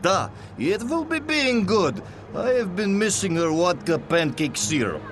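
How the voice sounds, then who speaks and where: High-pitched comic Russian courier. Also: male voice, big pitch swings, a little slow, music in the background. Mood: chummy, homesick. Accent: thick Russian. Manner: comically earnest, broken grammar. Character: cheerful, gullible male Soviet KGB courier, broken English. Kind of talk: video game cutscene acting.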